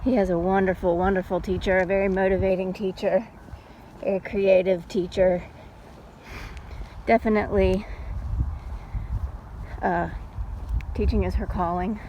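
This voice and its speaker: A middle-aged woman chatting casually in an unhurried, folksy way, like a mom telling stories while out on a walk. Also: mid-range voice, low-quality recording. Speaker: storytelling vlogger and mother. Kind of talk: casual rambling chat. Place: outdoors, walking. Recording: steady background noise, outdoors on a walk.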